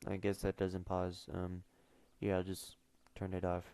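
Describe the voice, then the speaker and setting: A man's voice: low-pitched, monotone, measured pace, dark tone, no echo. A teenage boy streaming casually, mumbling and trailing off with fillers as he reads questions aloud. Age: teens. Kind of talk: casual livestream chat.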